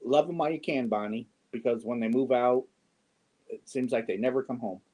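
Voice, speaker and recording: A man in his fifties chatting casually with viewers on a yarn livestream, joking and often clearing his throat. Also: medium pitch, a little fast, mellow. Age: 50s. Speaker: yarn channel host. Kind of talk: casual livestream chat answering viewer comments.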